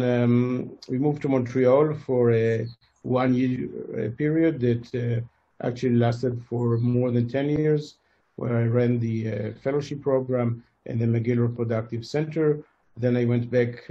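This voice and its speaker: A man from Israel with an Israeli accent giving a formal medical lecture in a measured, hesitant way. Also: medium pitch, mellow, highs cut off. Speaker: fertility doctor, conference lecturer.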